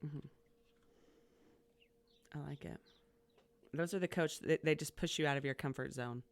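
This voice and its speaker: Gravelly-voiced woman host, chatty. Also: low-pitched, a little slow. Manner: chatty and upbeat, many fillers and restarts. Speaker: podcast host, community college coach. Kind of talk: casual interview conversation.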